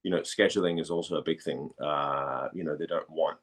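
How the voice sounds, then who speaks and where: A man from Denmark speaking casually as an interviewed actor, halting and repeating phrases as he searches for words. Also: rumbling low, fairly even intonation.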